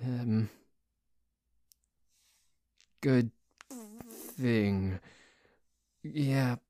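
Man acting a mellow, grumbling tsundere boyfriend. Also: mid-range voice, a little hiss or hum. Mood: reluctant. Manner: bickering, halting, sighing, cocky. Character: dorky, jealous, grumbling tsundere boyfriend who dislikes a cat. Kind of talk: ASMR boyfriend roleplay.

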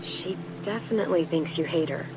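Composed, direct teen girl survivor adult actress for a naturalistic game drama, slightly low voice over clear nature ambience. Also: a little fast, lightly acted. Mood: frank, composed. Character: level-headed, frank teenage girl survivor. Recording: clear insect and nature noise behind.

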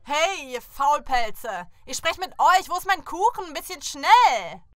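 Shrill, bratty young princess. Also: female voice, very animated, unhurried, no echo, faint music behind. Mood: angry, demanding. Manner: shrill, commanding, barking orders. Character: bratty, harsh young princess bossing servants around. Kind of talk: cartoon fairy tale voice acting.

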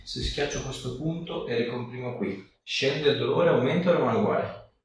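A man's voice: mid-range voice, animated, normal speed. A man working as a chiropractor, giving short, reassuring instructions and questions to a patient during a hands-on exam. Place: indoor treatment room. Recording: very echoey room, distant mic, faint noise.

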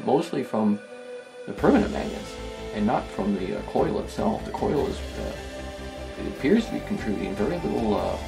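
A man giving a casual hands-on demonstration, talking in a halting, rambling, self-deprecating way. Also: on the low side, vocal fry, poor audio. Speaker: host of a vintage electronics video. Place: indoor electronics workbench.

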